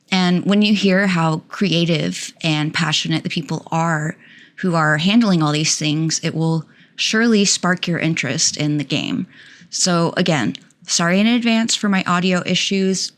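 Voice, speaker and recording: A woman with a slightly low voice hosts an online interview, asking friendly, curious questions over a call microphone. She sounds warm and eager to hear her guests talk. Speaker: podcast host.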